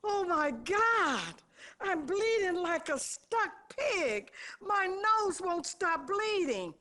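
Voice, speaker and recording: A slow, wailing, very high injured patient. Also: female voice, big pitch swings, sharp tone, heavily acted. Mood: horrified. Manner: drawn-out, wailing, melodramatic. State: distressed. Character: dazed, frightened injured woman crash patient. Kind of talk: acted video game cutscene.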